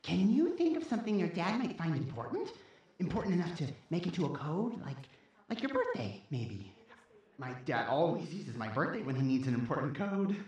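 Woman playing a low-voiced, persuasive kid, echoey. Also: big pitch swings, moderate pace, a little mellow, muffled recording. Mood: encouraging. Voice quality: sounds older than a child. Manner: coaxing, insistent. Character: persuasive, impatient kid trapped and scheming. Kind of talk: audio drama acting. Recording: roomy, echoing sound.

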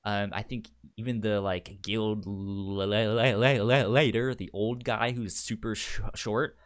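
A man hosting a casual book discussion show, talking informally and haltingly with a stutter that repeats sounds and syllables. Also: fairly low pitch, steady tone. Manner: halting, informal, thinking aloud. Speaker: podcast host.

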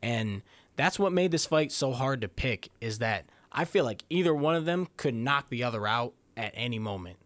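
Male podcast co-host, mid pitch, dry mic, hesitant thinking-aloud analysis. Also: lively intonation, normal speed, a bit bright, no echo. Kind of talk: casual sports podcast breakdown.

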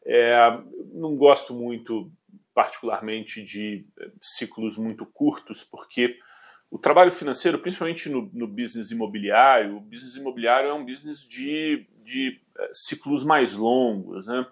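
A man in his forties, a finance executive from southern Brazil, answering interview questions in a measured way with frequent hesitations. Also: mid-range voice. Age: 40s. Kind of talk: business interview.

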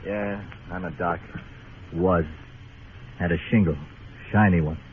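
A bitter frontier doctor gone crooked, low in pitch. His delivery is clipped and sardonic, in old radio drama fashion. The sound is narrow and slightly noisy. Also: fairly even intonation, a little slow. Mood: bitter, guarded. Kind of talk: 1950s radio drama acting.

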